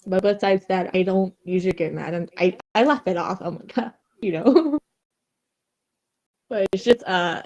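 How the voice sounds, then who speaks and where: A woman with a slight Vietnamese accent, chatting casually as a streamer on a podcast, halting and restarting often with frequent giggles. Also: medium pitch, a little mellow. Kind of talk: casual chat.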